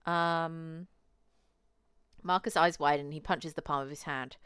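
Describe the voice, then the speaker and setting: A woman's voice: low voice, fairly even intonation, unhurried, a bit bright, clean tone, no room sound, clean studio sound. A woman game streamer reading a visual novel aloud with casual commentary. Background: music.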